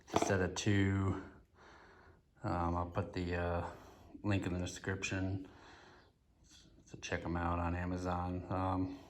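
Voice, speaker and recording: A middle-aged man gives a casual, unscripted product review in a plain-spoken way, often pausing mid-sentence. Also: sits low. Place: indoors in a garage or workshop. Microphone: handheld phone or camera, speaker off screen.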